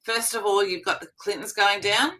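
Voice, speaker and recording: A woman's voice: mid-range voice, steady tone, pushed and bright. A woman hosting a live news stream, chatty and emphatic in casual commentary. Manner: chatty, emphatic, quick asides.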